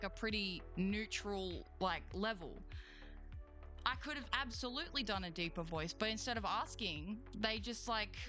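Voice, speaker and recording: A young Australian woman chats and rants to her stream audience, swearing freely and swinging between gripes and cheer. Her voice is bright and forward, with a low bed of music behind her. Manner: swears freely, slangy, quick emotional swings. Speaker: streamer and voice actor. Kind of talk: casual livestream rant and chat reading.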